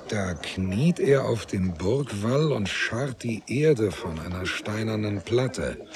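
A man narrating a literary radio drama in a grave, measured way, with deliberate pauses. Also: on the low side, animated, a little mellow. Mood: grave, reflective.

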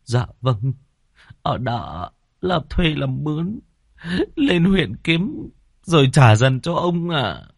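A man voicing a desperate poor peasant who stammers, pleads and wails in a high, strained voice. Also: sing-song, takes it slowly, vocal fry, long pauses, dry recording, clean studio sound, exaggerated voice. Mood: pleading.

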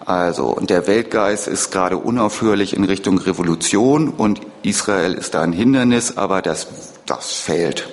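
Guy w/ low voice doing political talk, kinda halting, big echoey room. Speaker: speaker at a public lecture. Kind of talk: informal spoken political lecture answer. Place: reverberant lecture room or hall. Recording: echoey hall recording of live talk.

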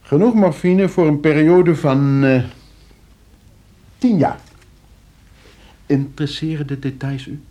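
An actor playing a coolly scheming, suave and condescending nephew in a Dutch radio play. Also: male voice, a little high, fairly even intonation, slow pace, long pauses, dark tone. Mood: calculating, suave. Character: scheming, debt-ridden nephew coolly arranging his uncle's murder.

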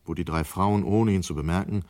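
A man's voice: deep voice, fairly even intonation, a little fast, a little mellow. A man narrating a literary radio drama in a scripted storytelling style.

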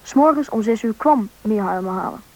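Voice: mid-range voice, animated, brisk, darkish tone. A performer playing a young boy who narrates his own story in a scripted radio drama. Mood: reflective. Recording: dull, band-limited radio recording.

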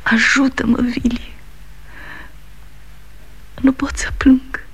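An actress playing a grieving middle-aged widow in a 1960s radio drama, speaking in a hushed, breathy whisper. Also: female voice, high voice, level tone, exaggerated voice.

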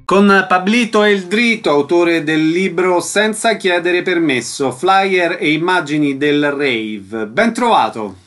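Conduttore radiofonico italiano, voce piuttosto acuta. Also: male voice, sits high, animated, slow, hardly any pauses, a bit bright, faint music behind. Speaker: radio host, interviewer. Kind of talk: radio interview presenting. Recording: faint background hum.

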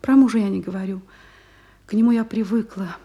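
Husky, wistful gentlewoman. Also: mid-range voice, brisk. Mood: pained disdain. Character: discontented, refined young married gentlewoman, sensitive and disdainful. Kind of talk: classical stage drama acting.

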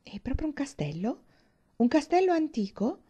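An eager, wide-eyed young woman from an audiobook novel, voiced by a female narrator. She is hesitant and full of questions, with a mellow tone that rises high. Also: expressive, moderate pace. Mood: wonder. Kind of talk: audiobook character dialogue.

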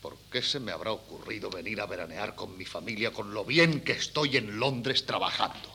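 A man's voice: lowish voice, moderate pace, sharp tone. An actor playing a proud, blustering middle-aged father, easily embarrassed, in theatrical 1970s radio-play style. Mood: exasperated. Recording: hissy, humming old cassette recording.

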